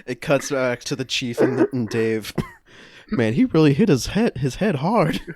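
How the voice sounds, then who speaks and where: Slow-paced, lively-pitched man narrating improv. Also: no echo. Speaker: podcast performer narrating scenes.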